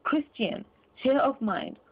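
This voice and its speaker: A woman voicing a courteous, formal, earnest gentleman collecting for charity in a storybook reading. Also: high voice, animated, takes it slowly, slightly rough, voice pushed up, full character voice. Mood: earnest, appealing. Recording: muffled phone-like narrow sound.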